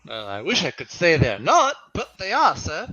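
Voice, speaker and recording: A man playing a polite, earnest gentleman collecting for charity in a radio-style play reading, formal in manner, on a slightly dull microphone. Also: a little high, wildly swooping pitch, normal speed, full character voice.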